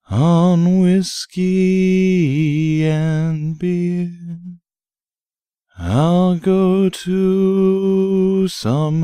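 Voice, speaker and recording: A singer delivering a slow, unaccompanied folk ballad in a smooth, clear voice. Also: medium pitch, dark tone, dry recording.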